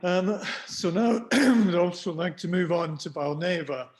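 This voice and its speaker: A hoarse man moderating a webinar, briskly introducing the next speaker. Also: high voice, a little mellow. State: hoarse, clearing throat. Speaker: seminar moderator.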